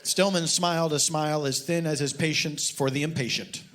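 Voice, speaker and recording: Deadpan man with a higher-pitched voice. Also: moderate pace. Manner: deadpan, pompous. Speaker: comedian reading as a novelist. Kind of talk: mock-serious story reading before a live audience. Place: live stage show.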